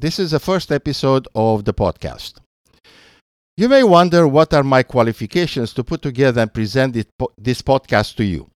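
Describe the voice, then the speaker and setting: Older Romanian-accented man, smooth and dry. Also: mid-range voice, lively intonation, normal speed, no room sound. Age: 60s or older. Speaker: podcast host. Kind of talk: scripted narration.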